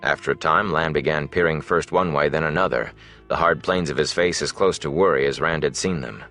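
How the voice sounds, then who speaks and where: A man's voice: a bass voice, fairly quick, sharp tone, faint music behind. A man reading a fantasy novel aloud as scripted audiobook narration.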